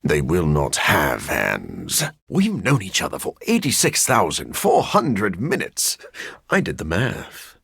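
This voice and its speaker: An actor playing a brooding, awkward vampire scientist in a video game, with a formal, dry-witted, deadpan delivery and a husky, gravelly voice. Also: male voice, deep voice, takes it slowly, no room sound, full character voice.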